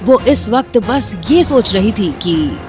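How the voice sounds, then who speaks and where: A woman's voice: medium pitch, big pitch swings, takes it slowly, a little mellow, soft background music. A woman narrating a scripted audio drama with dramatic storytelling.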